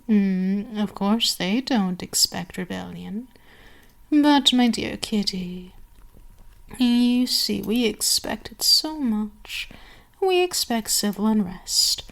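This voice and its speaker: A woman acting an imperious, slow-speaking vampire queen. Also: mid-range voice. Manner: haughty, deliberate, with lingering pauses and breathy whispers. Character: imperious female vampire queen, seductive, cruel, domineering. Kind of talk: ASMR roleplay acting.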